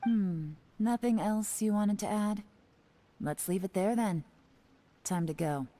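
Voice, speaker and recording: An actress playing a cool, confident, teasing investigator in a video game, dry and assured in delivery. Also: female voice, medium pitch, slow pace, mellow, close to an everyday voice.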